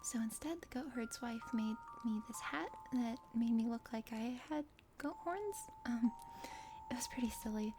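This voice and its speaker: A plucky young woman adventurer from a visual novel, as a woman reader plays her, in a highish voice. She is casual and wry, with a teasing edge. Music is clearly heard behind her. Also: animated, moderate pace. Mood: hesitant, casual. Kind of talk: visual novel character voice-over. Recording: music under the voice.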